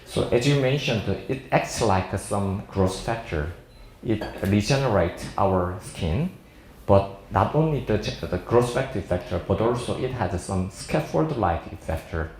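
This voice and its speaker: A man in his forties with a Korean accent, a native Korean speaker, giving an informal seminar talk as a surgeon in halting, jovial English. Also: fairly low pitch, animated, a little mellow, a little hiss or hum. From South Korea. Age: 40s. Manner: halting, jovial non-fluent English with frequent chuckles. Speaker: plastic surgeon lecturing at a medical seminar. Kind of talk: informal seminar presentation to an audience. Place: indoor seminar room. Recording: echoey seminar room.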